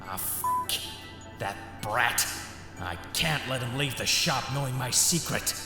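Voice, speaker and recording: A man's voice: medium pitch, expressive, unhurried, music in the background. A man playing a greedy, scheming shopkeeper villain who gloats and mutters asides in an anime-style audio drama. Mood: sly, scheming.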